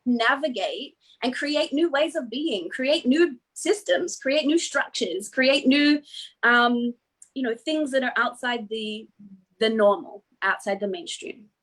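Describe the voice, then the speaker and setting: An earnest middle-aged Australian woman talking through her ideas over a video call. Her voice sits a little high and runs in long, flowing sentences. She often drops in a casual "you know.". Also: takes it slowly, fairly bright tone, husky, poor audio. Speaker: guest speaker. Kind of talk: reflective interview answers.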